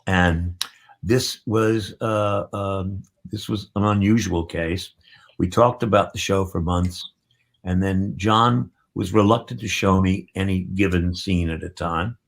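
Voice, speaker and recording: A man being interviewed, reminiscing about his work in a halting, reflective way. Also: low voice, steady tone, gravelly. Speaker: interviewee, theatre writer.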